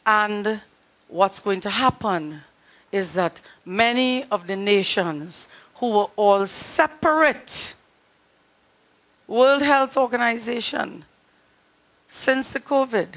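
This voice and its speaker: A middle-aged woman pastor with a Trinidadian accent, a little hoarse, preaching earnestly to her congregation through a headset mic in a small studio. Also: medium pitch, a bit bright. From Trinidad and Tobago. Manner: earnest, emphatic, direct address to congregation. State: slightly hoarse. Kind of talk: sermon.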